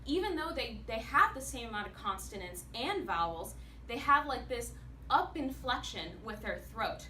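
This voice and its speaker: An animated woman, an actor and singer, giving a prepared speech to a club audience in a meeting room. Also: on the high side, moderate pace. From United States. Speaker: public speaker, actor and singer. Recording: echoey room, mic far off, faint noise.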